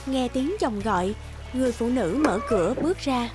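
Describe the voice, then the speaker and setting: Woman reading a fairy tale, high voice, music playing behind her. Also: expressive, moderate pace. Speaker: narrator. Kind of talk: scripted fairy-tale narration. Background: background music.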